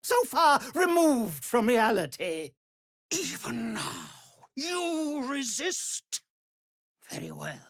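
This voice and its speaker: Cruel vampire master for a video game: imperious, wildly swooping pitch, very slow and savoring. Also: male voice, very animated, fairly bright tone, no room sound, studio quality, heavily acted. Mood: sneering menace. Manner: pompous, imperious, sneering. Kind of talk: theatrical video game villain acting.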